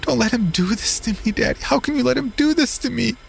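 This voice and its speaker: A man's voice: very high-pitched, animated, fast, creaky, slight background noise, pitched above the performer's own voice, exaggerated voice. A man voicing possessed young daughters in a horror tale. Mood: pleading.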